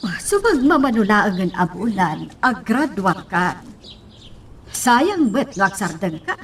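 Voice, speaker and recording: A woman's voice: mid-range voice, sing-song, slow, a bit bright, a little hiss or hum, exaggerated voice. A woman playing a firm, encouraging mother in an Ilocano radio drama. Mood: questioning.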